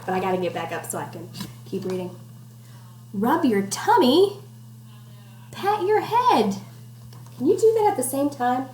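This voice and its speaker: A woman's voice: high voice, very animated, unhurried, long pauses, a little mellow. A woman teacher doing an interactive picture-book read-aloud for children at home, encouraging them with questions and instructions. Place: at home, indoors. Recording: echoey room with faint background noise.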